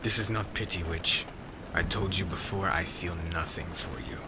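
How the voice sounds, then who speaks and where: A man playing a stern, cold witch hunter in an ASMR roleplay, speaking in a solemn, formal, menacing way that often drops to a hush. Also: low voice, normal speed, very noisy, natural voice. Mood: stern, reproachful. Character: stern male witch hunter captor, cold, grudgingly admiring. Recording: heavy rain and thunder ambience behind. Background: rain and thunder sound effects.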